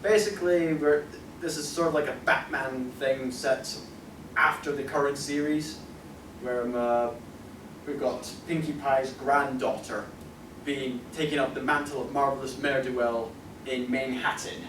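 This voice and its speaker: A man's voice: mid-range voice, fairly even intonation, unhurried. A young British man rambles through casual, jokey panel talk with loose swearing. He is recorded from a distance in an echoey room with plenty of background noise. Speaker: convention panelist, amateur audio drama voice actor. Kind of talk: casual unscripted panel chat. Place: convention panel room, indoors. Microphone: distant camera microphone. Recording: roomy, noisy, distant convention room recording.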